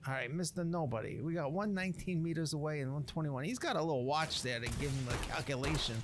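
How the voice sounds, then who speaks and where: A man's voice: a little high, fast talker, background noise, natural voice. A man voicing a brash wasteland chef who rambles in emphatic, slangy wise-guy talk as he recounts a legend over a video game. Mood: cocky, amused. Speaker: narrator of a roleplay series. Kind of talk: improvised in-character game commentary.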